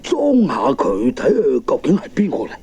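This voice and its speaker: A man's voice: medium pitch, wildly swooping pitch, moderate pace, vocal fry, warm tone, husky, full character voice. A man with a Hong Kong Cantonese accent playing a kindly old shoemaker in a children's radio drama, hesitant and sighing. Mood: wondering. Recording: old cassette with faint noise.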